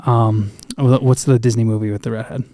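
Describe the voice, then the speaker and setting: A man's voice: mid-range voice, fairly even intonation, fairly quick, mellow, no echo. A man chatting casually as co-host of a podcast, trading banter close to the mic.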